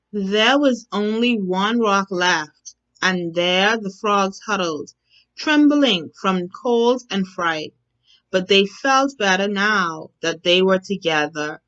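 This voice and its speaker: Slow, measured woman reading a bedtime story. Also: mid-range voice, lo-fi sound. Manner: measured, deliberate, expressive reading. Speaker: storyteller, teacher. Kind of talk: children's bedtime story narration.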